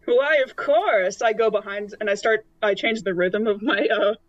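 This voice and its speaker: A woman's voice: medium pitch, flat delivery, moderate pace, no room sound. Female tabletop gamer, playful and casual, improvising, on a dry, slightly muffled mic. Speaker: player in a tabletop roleplaying game.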